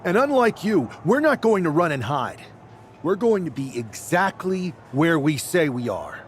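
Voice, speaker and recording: A man's voice: on the high side, big pitch swings, a little slow, a little hiss or hum. A man playing a determined wrestler in a video game cutscene, speaking in an emphatic, resolute way. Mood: defiant. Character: determined male wrestler leading a fightback. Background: music.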